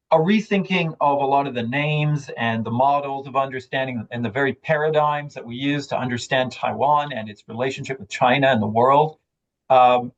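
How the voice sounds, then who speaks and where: A man moderating an academic book talk, speaking in a measured, slightly halting way as he introduces the authors. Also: medium pitch, dull audio.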